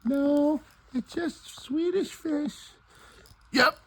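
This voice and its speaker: A woman voicing an awkward, stubborn restaurant worker puppet with a halting manner in an improvised puppet show. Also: high-pitched, rough recording. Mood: apologetic.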